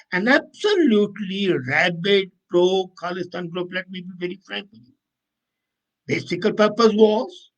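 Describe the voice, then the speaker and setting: Male commentator, notably high voice, emphatic and opinionated, off-the-cuff delivery. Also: poor audio. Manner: emphatic, opinionated, self-correcting. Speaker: commentator and host answering viewer comments. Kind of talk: unscripted political commentary.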